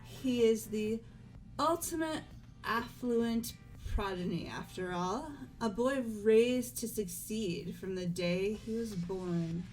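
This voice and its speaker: A woman voicing a refined, calmly competitive young lady in a fan-voiced game, with measured, polite and precise speech. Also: medium pitch, soft background music, low-quality recording. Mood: composed, knowing. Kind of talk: amateur voiced video game playthrough.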